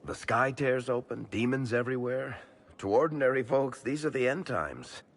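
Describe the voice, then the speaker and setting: An actor playing a wry, plain-spoken businessman in a video game, talking casually and a little haltingly in a gravelly voice. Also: mid-range voice, close to an everyday voice. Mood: earnest. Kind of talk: video game dialogue acting.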